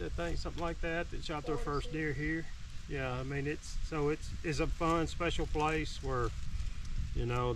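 A man who runs a hunting camp, speaking outdoors in a relaxed, halting way. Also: mid-range voice, recorded from a distance, background noise. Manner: relaxed, halting, repeats words. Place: outdoors in woods.

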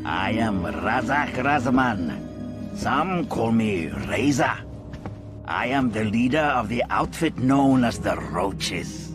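Suave older male gang leader, unhurried, swooping intonation, formal manners, video game villainy. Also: mid-range voice, animated. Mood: suave, pleased. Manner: measured, courteous, formal. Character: suave, calculating older male crime boss. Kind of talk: video game cutscene acting. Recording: music behind.

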